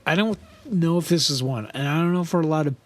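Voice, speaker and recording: A man with a high voice, co-hosting a podcast in a casual chat and telling stories with lots of laughter. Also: fast, a little hiss or hum. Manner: animated, laughs often, trails off and restarts. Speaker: podcast co-host.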